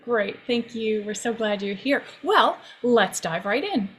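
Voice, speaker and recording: Woman podcast host, higher voice, takes her time, gentle interviewer, some background noise. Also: expressive, measured pace. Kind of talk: unhurried interview conversation.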